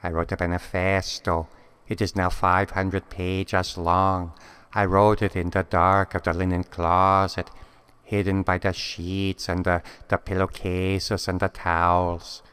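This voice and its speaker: Cartoon cat revolutionary, female, played by a man: grandiose and solemn, low-pitched, very clean smooth tone, deadpan monologue delivery. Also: unhurried. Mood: solemn, scheming. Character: scheming, self-important female house cat revolutionary. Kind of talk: scripted comic monologue. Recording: long cavernous reverb.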